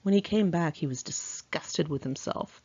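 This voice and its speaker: A woman's voice: low voice, moderate pace, mellow, breathy edge, no echo, lo-fi sound. A woman reads her own memoir aloud in an informal, candid manner, as an author narrating.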